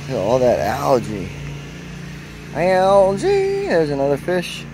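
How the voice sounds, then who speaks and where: Male travel vlogger, unhurried and easily excited, outdoors on a handheld camera with faint background noise. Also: fairly high pitch. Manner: excited, exclaiming at what he finds. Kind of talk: casual walking tour narration. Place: outdoors at a spring park.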